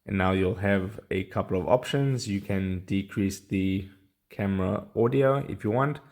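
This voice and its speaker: A man with a low-pitched voice and plenty of vocal fry narrates a how-to tutorial. He speaks casually and plainly, taking the listener through each step. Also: a little slow, a little mellow. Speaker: tutorial presenter.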